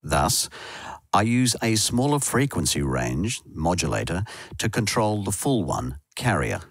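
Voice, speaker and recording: A man with a low voice narrates a software tutorial from a script. His intonation moves up and down in a lively way as he explains step by step. The sound is dry and close. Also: unhurried, breathy edge, no room sound.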